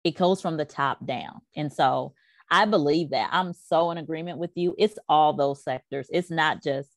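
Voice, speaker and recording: A middle-aged woman, a career and life coach, talking as a podcast guest in an emphatic, animated way. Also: low-pitched, normal speed, no room sound, studio quality. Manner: emphatic, animated, quotes people in voices. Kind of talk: casual podcast conversation.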